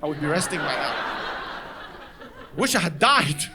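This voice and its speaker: A man from Lebanon with a Lebanese accent, doing animated stand-up storytelling on stage and acting out the people in his story. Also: on the high side, moderate pace, background noise. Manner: animated, acts out voices of others in anecdotes. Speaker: stand-up comedian. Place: stage before a live audience.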